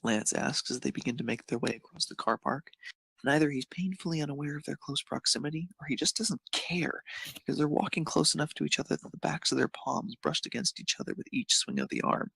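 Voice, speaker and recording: A man's voice: on the high side, fairly quick, vocal fry, sharp tone, slightly rough, no room sound. A man narrating a scripted piece of fan fiction as a storyteller.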